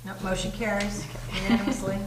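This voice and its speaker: A woman chairing a town board meeting as supervisor, running the agenda briskly and encouragingly. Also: medium pitch, little pitch movement, over a noisy crowd. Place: town hall meeting room. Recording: distant, echoey room with noise.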